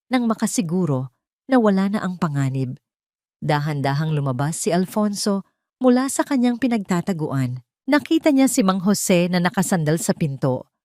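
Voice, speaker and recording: Low-pitched Filipino woman narrating, dry sound. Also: darkish tone, no room sound. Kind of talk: dramatic scripted story narration.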